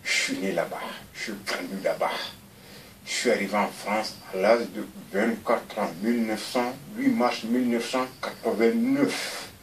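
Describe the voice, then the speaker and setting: A man, giving a recorded interview and talking in an animated, rambling way, often repeating himself. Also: lowish voice, measured pace, reverberant, distant mic, slight background noise, rough recording. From Mali.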